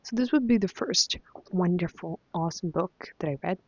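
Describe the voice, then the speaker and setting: A woman's voice: on the low side, normal speed, slightly rough, no echo, lo-fi sound. A young woman whose first language is Spanish, chatting casually about books she has read, with many fillers and restarts. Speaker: vlogger. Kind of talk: casual book review chat.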